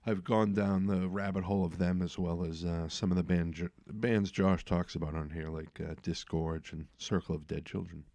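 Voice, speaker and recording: A middle-aged man hosting a podcast interview, talking casually and relaxed with lots of "uh" fillers. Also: deep voice, moderate pace, hardly any pauses, dry recording. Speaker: podcast host.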